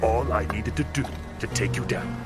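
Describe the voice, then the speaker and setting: A man's voice: lowish voice, moderate pace, close to an everyday voice. An actor playing a smug, gloating businessman villain in a radio drama, speaking in a pompous, taunting, theatrical way. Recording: music under the voice.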